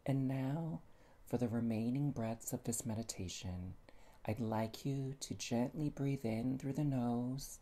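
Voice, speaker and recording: A meditation guide speaking gently and soothingly, with long pauses and soft, breathy phrase endings. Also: medium pitch.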